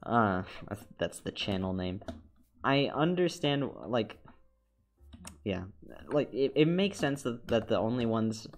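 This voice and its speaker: A man's voice: mid-range voice, lively intonation, slow pace. A young man with a congested, nasal voice chatting casually as a streamer while he animates, rambling with repeated words and laughs.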